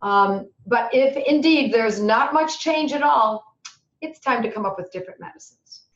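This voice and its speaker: A middle-aged woman with a somewhat high voice answers webinar questions in a chatty, animated way, a slight hoarseness creeping in. The sound is roomy and dull, like lo-fi webinar audio. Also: normal speed. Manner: chatty, animated, acts out quoted worries. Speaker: homeopathy instructor answering questions.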